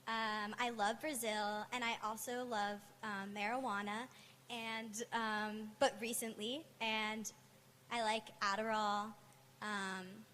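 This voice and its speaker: A young American woman in her twenties, a student, introducing herself haltingly with many ums. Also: on the high side, flat delivery, pushed and bright, long reverb tail, slight background noise. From United States, near New York. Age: 20s.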